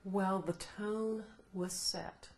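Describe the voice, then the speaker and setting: A middle-aged American woman, a law professor, answering interview questions in a thoughtful, measured way. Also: medium pitch, fairly even intonation, a little mellow. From United States. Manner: thoughtful, measured, laughs often. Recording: roomy echo, faint noise.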